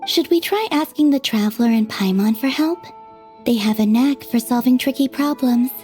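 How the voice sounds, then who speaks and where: A woman playing a gentle, dreamy young maiden in an anime video game, speaking softly and wistfully. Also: a little high, normal speed, natural voice. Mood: thoughtful. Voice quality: soft, breathy. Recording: faint music behind.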